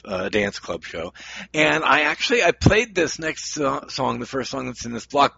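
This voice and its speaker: Gravelly, rambling male radio DJ. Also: medium pitch, sharp tone, highs cut off. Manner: rambling, with many fillers and restarts. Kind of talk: casual radio show chat.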